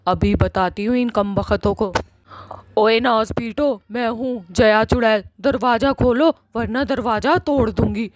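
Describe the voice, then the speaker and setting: A woman's voice: a little high, unhurried, no room sound, lightly acted. A woman voicing an angry, bossy witch in a comic horror cartoon, scolding emphatically and repeating words. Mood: grumbling. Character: angry, foul-mouthed village witch, bossy and threatening. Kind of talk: Hindi cartoon horror-comedy acting.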